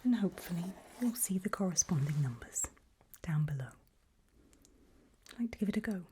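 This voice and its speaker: A woman doing a whispered ASMR doctor roleplay, speaking breathily in gentle, reassuring, halting short phrases close to the microphone. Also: fairly low pitch, expressive, slight background noise. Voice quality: breathy, mostly unvoiced whisper. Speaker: ASMR performer role-playing a doctor giving a memory test.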